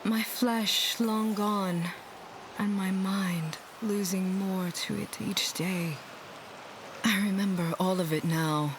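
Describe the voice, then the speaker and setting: A woman playing a sorrowful ancient guardian dragon under a curse in a fantasy game, halting and solemn, with noise behind her voice. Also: medium pitch, fairly even intonation, close to an everyday voice. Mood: weary relief. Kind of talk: fantasy game dialogue acting.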